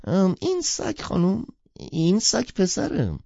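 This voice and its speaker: Very creaky old street peddler. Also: male voice, high voice, huge pitch swings, dark tone, dry recording, muffled recording, voice pushed up, full character voice. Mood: hesitant. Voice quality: creaky, cracked voice.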